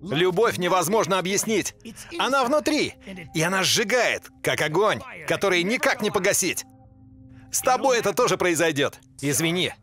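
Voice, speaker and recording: Cartoon devil boy, brooding and proud, high bright voice, music behind. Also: sing-song, normal speed, full character voice. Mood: earnest. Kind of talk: Russian cartoon dub.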